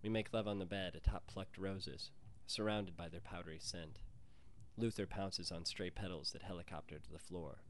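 Male author-reader, low register, scripted short fiction narration. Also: fairly even intonation, moderate pace, a little hiss or hum. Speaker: author reading own short story.

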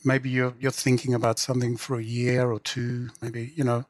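Husky middle-aged Englishman, dry close sound. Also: medium pitch, little pitch movement, normal speed, dry recording. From England. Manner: reflective, hedging, frequent 'you know'. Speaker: guest, writer and literary translator. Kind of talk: conversational interview.

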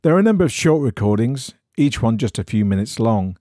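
A man reading an eerie horror story in a measured, suspenseful storytelling manner. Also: mid-range voice, big pitch swings, dark tone, no echo, clean studio sound. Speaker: story reader.

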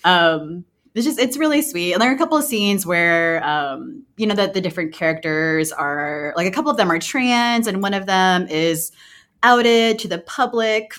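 A woman's voice: on the low side, animated, normal speed, fairly bright tone. A woman giving recommendations as a podcast co-host in an informal, enthusiastic way. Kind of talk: casual informal chat.

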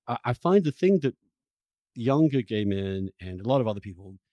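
A man interviewing in a reflective long-form conversation, speaking earnestly and emphatically, often halting and repeating words. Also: mid-range voice, sing-song, mellow, no room sound, highs cut off.

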